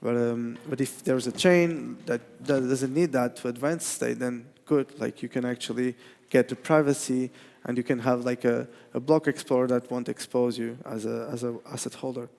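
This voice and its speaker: A man with a Portuguese accent gives a technical talk in a large hall. His voice is gravelly and sits at an ordinary pitch, and he often stops and restarts his sentences. The sound rings with a long hall reverb. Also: steady tone, darkish tone. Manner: halting, many restarts and fillers. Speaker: conference speaker.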